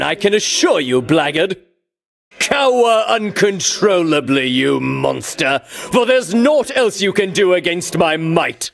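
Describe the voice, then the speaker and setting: Pompous, high-pitched heroic Greek champion. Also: male voice, exaggerated voice. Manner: pompous, grandiloquent, archaic diction. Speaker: video game voice actor. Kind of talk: theatrical video game combat barks.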